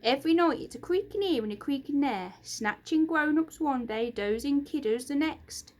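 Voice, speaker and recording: A woman voicing Scratch, a sly little male creaker creature who talks in broken grammar. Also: sits high, expressive, moderate pace, a little mellow, voice pushed up.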